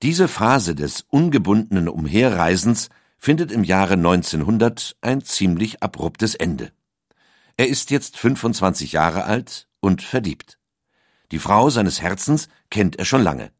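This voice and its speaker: A man reading a documentary script in measured storytelling narration. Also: deep voice, big pitch swings, no echo, studio quality.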